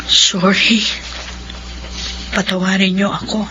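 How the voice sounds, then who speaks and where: A woman's voice: medium pitch, level tone, slow pace, bright, forceful tone, slight background noise. A woman acting a dutiful, emotional grown daughter home from abroad in a radio drama, with earnest, heartfelt delivery. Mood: remorseful. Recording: dull lo-fi radio drama, music behind.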